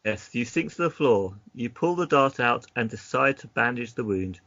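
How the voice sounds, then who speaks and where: A man's voice: medium pitch, animated, moderate pace, a bit bright, no echo, highs cut off. A man narrating an adventure gamebook as game master, casually, breaking off into chatty asides.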